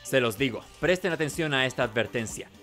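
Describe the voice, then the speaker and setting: A man's voice: mid-range voice, expressive, brisk, fairly bright tone, soft background music, close to an everyday voice. A man voicing an arrogant, contemptuous young man from a video game in a haughty, commanding way. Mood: cold, scornful.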